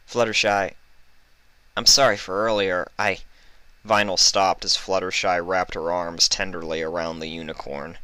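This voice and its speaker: Man, deep pitch, crisp forceful tone, story narrator, reading from a script. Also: no room sound. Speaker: fan fiction reader.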